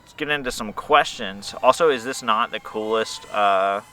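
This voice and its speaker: A man's voice: on the low side, moderate pace, bright, forceful tone, poor audio. A man hosting a casual outdoor interview while flying a drone, upbeat and playful with jokes. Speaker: host. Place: outdoors at a park playground.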